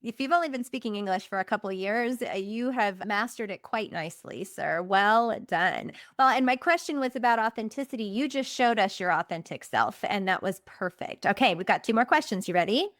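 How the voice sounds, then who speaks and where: A woman hosting a podcast, asking questions in a brisk, encouraging way. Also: mid-range voice, expressive, fairly bright tone, dry recording, studio quality. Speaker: podcast host. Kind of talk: interview questions.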